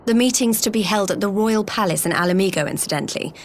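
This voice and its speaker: An actress playing an earnest, friendly young envoy in a video game, speaking politely and encouragingly. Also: female voice, mid-range voice, fast talker, natural voice. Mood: warm, businesslike. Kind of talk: video game cutscene voice acting.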